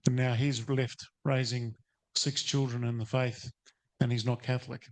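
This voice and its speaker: A man's voice: medium pitch, little pitch movement, moderate pace. A man, a teacher asking a long, hesitant question at a conference, full of fillers and restarts. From New Zealand. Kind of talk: audience question at a seminar. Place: seated in a conference or webinar room.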